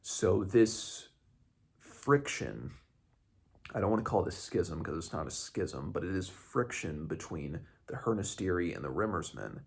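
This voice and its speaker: Very deep, creaky-voiced man, talking haltingly. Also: expressive. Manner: halting, searching for words, self-correcting. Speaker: host of a book read-along. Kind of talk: casual book discussion with some read-aloud passages.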